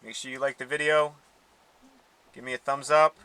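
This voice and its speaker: A man's voice: medium pitch, level tone, normal speed, bright, forceful tone, smooth, poor audio. A man hosting a casual car audio unboxing, talking informally and thinking aloud, with some noise behind him. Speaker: host of a car audio channel.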